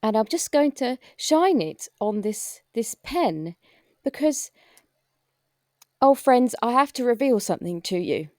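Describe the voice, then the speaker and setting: A timid, deferential hospital porter, played by a woman in a comic audio drama. Her voice sits fairly high and is recorded very dry, with a polite, stumbling delivery. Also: big pitch swings, unhurried, a little mellow, no room sound. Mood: nervous, polite. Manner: hesitant, stammering, polite.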